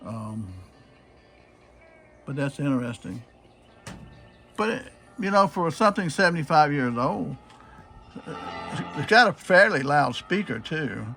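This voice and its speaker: A man with a husky voice gives a casual, unscripted demonstration, explaining things in a plain-spoken, halting way. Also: fairly high pitch, very animated, bright, forceful tone, soft background music. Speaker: presenter showing a restored old radio.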